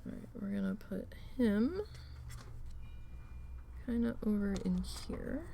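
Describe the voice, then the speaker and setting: A woman losing her voice, chatting casually and slowly with self-deprecating asides while she does a craft project at home. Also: medium pitch, lively intonation, gravelly, background noise. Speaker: needle felting crafter.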